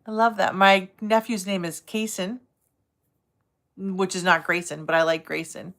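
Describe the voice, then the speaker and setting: Woman, Boston accent, live-stream host; casual, rambling, warm greetings to viewers. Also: mid-range voice, fairly even intonation, measured pace. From Massachusetts, south of Boston. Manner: chatty, rambling, friendly, laughs easily. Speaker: livestream host.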